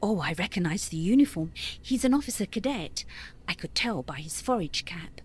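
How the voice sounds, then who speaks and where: A woman's voice: on the low side, animated, moderate pace, slightly rough. A woman playing a composed but guarded young lady giving hesitant, polite testimony in an audio play, with faint noise behind her.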